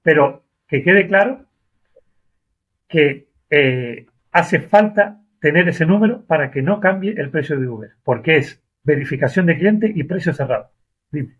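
A man's voice: on the high side, lively intonation, measured pace, breathy edge. A man, a driver being interviewed, speaking in an earnest, emphatic conversational way and repeating himself. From Argentina. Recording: dull lo-fi audio.

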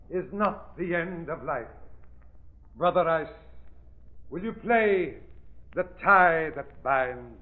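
A male actor playing a solemn spiritualist medium, addressing a seance with measured ceremony in an old radio drama. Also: high voice. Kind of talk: 1940s radio acting. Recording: narrow, muffled old radio recording.

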